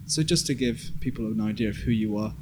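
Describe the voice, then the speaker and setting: A man's voice: lowish voice, fast talker, noisy background. A man hosting a podcast in a casual interview style. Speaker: podcast host.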